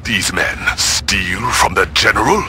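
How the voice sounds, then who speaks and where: An actor playing a menacing gang boss in a video game, speaking with formal, coldly polite measure. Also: male voice, low voice, expressive, unhurried, bright, forceful tone, heavily acted. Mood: cold, measured. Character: menacing, courteous gang boss called the general. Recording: clearly noisy, car interior ambience.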